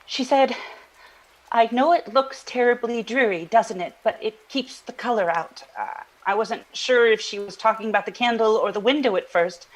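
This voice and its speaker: An actress plays a woman with a fairly high voice, earnest and troubled, in a naturalistic audio drama. She recounts a strange dream haltingly, doubling back on her words as she searches for the right ones. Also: natural voice, rough recording. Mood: quoting, dreamy.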